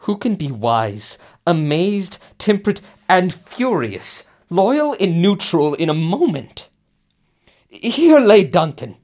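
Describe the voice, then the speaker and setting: A man's voice: mid-range voice, lightly acted. A man acting a brooding, murderous Scottish warlord in a Shakespearean radio drama.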